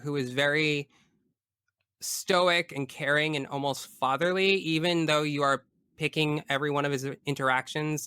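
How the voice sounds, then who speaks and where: A man's voice: fairly high pitch, pushed and bright, smooth, no room sound, clean studio sound. A man in a casual podcast discussion as the guest, explaining his views earnestly and analytically at length.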